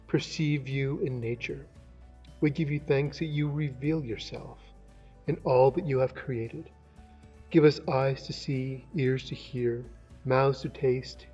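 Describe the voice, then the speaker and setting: An older man, a pastor, giving a relaxed, conversational devotional and prayer into a webcam in a large indoor room. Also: medium pitch, breathy edge. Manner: conversational, relaxed, musing with asides. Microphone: webcam, close framing. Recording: dull lo-fi webcam sound.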